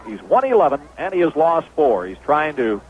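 Male radio baseball play-by-play announcer, slightly high pitch, thin vintage AM sound. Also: sing-song, normal speed, highs cut off. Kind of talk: radio sports commentary. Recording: old AM radio broadcast, thin, noisy.

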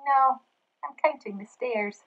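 A woman's voice: sits high, unhurried, highs cut off, voice pushed up. A woman voicing a playful, stubborn little pig in a bedtime storybook, with emphatic childlike refusals. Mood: bouncy, defiant.

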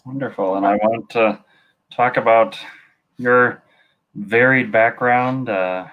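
A young man hosting a video call interview, asking questions with halting, repeated words. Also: mid-range voice, steady tone, phone-quality sound. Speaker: interview host. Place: indoor room. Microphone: webcam on a video call.

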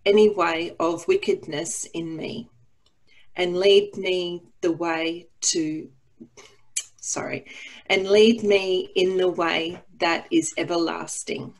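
A woman reads scripture aloud with a careful, slightly halting delivery. Her voice is on the low side and her pace is very slow, recorded over a computer mic. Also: fairly even intonation, rough recording. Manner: halting, careful reading with stumbles. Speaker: psalm reader at an Anglican service. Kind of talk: scripture reading.

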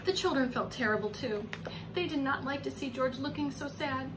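A woman's voice: high-pitched, moderate pace. A woman in her forties reading a children's story aloud as a preschool teacher, very expressively, drawing out words and putting coaxing questions to her little listeners. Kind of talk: animated children's story read-aloud with asides to young listeners. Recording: clearly noisy home recording.

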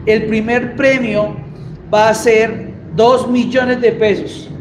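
High-voiced Colombian man, echoey workshop. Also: flat delivery, slow pace. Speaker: host. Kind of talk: casual live-stream talk, announcing a championship. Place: small motorbike workshop, indoors. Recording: echoey room with clear background noise.

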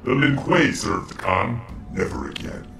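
A man's voice: sits low, sing-song, measured pace, over loud music, exaggerated voice. A man voicing a hostile cyborg ninja for a video game, clipped and menacing, through a metallic robotic filter. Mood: cold menace. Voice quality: gravelly, metallic. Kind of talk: video game character dialogue.

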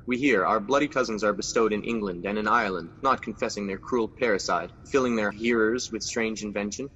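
A man acting an ambitious new king in a radio play, speaking verse in a low, regal, measured voice. The voice rings with a long reverb over a faint hiss. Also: sharp tone, muffled recording. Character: Macbeth, newly crowned ambitious king. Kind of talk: amateur radio drama acting.

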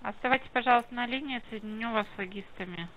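A woman working as a phone operator, speaking with brisk, formal politeness on a service call. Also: fairly high pitch, dull audio.

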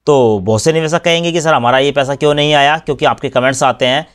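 A man's voice: medium pitch, fast. A man hosting a screen-recorded tutorial, explaining step by step and often addressing viewers as friends. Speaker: YouTube channel host explaining refunds.

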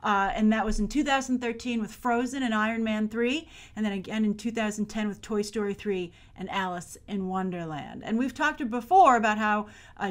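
An American woman in her thirties with a fairly high voice presents movie business commentary. She talks chattily to the camera as a show host, leaning hard on key words and stretching some of them out for emphasis.

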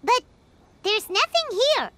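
A woman voicing a tiny, excitable, childlike fairy companion in a video game, with a squeaky, piercing voice. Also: slow, a little hiss or hum, full character voice. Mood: curious, chatty. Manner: excitable, speaks of herself in third person. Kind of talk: English video game voice acting.

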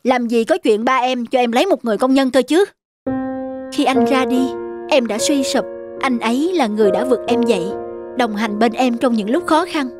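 A woman's voice: on the high side, normal speed, over loud music, close to an everyday voice. A woman voicing an earnest, reproachful young woman in a melodramatic cartoon dub. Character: earnest young woman rebuking her former lover.

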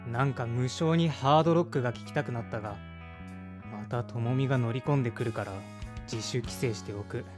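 Man acting a sullen son, medium-pitched. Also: a little fast, music in the background, close to an everyday voice. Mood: brooding, nostalgic. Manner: sullen, blunt. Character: resentful 35-year-old son, former teenage rock guitarist. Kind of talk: audio drama dialogue and first-person narration.

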